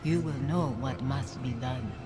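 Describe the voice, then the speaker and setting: An actor playing an ominous, mysterious beckoning presence in a video game, with a cryptic, drawn-out delivery. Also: male voice, medium pitch, very noisy, close to an everyday voice. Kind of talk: scripted video game voice acting. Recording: loud game music over the voice.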